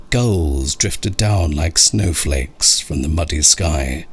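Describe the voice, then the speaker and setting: A male narrator reads a horror story in a deep, husky voice. His pacing is unhurried and his intonation is lively and dramatic. Music plays beneath the reading. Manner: slow, expressive, dramatic storytelling. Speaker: narrator of horror short stories. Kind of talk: scripted audiobook narration of a scary story. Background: music under the voice.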